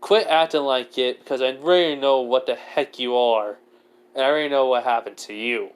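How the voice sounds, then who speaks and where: A man's voice: medium pitch, normal speed, clear, resonant tone, lightly acted. A man voicing an amused, bossy goddess in a fantasy story, teasing and matter-of-fact. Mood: scolding.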